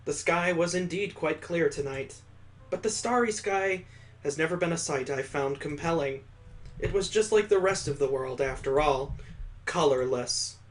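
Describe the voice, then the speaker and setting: A man with a fairly high voice reads a visual novel's narration aloud. He breaks off for wry, casual asides as the host of a let's play. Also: moderate pace.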